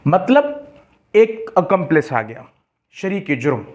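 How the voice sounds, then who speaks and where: Pakistani guy lecturing like a law teacher, really slow, repeats himself, voice on the high side. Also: sing-song, dull audio. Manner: deliberate, repeats phrases, halting when reading out English. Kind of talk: explanatory lecture mixing Hindi-Urdu and English.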